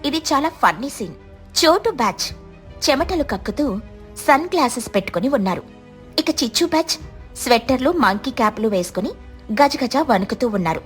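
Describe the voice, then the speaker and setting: A woman's voice: high-pitched, very animated, normal speed, soft background music. A woman reading scripted narration for a children's cartoon story.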